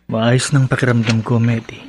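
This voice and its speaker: An actor playing a gentle, remorseful young husband with a husky voice in a radio drama. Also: male voice, medium pitch, level tone, slight background noise, close to an everyday voice. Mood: remorseful, loving. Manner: slow, gentle, apologetic. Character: remorseful young husband recovering from surgery, devoted.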